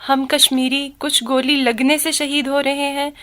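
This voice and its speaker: An ailing mother in a radio play. She speaks slowly in a high, plaintive voice, anxious yet stoical. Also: flat delivery, bright, forceful tone. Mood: resigned. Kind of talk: radio drama acting.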